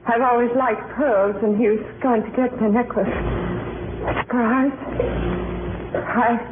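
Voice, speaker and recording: An actress playing a shaken, timid middle-aged wife in a 1940s radio drama, speaking hesitantly and properly. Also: female voice, on the high side, fairly even intonation, slow. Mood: grieving, wistful. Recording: tinny, very noisy 1940s radio broadcast.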